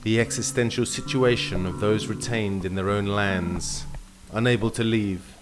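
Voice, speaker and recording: A man with a low voice reads a documentary narration in measured, solemn phrases. Clear guitar music plays underneath him. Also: steady tone. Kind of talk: scripted narration.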